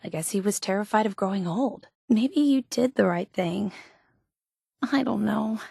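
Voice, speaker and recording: A woman playing an eager, obsessive young superfan in a video game, with a gushing, earnest, excitable manner. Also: medium pitch, a little slow, gravelly, breathy edge, no echo, clean studio sound, heavily acted. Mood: wistful, conflicted. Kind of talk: video game character dialogue.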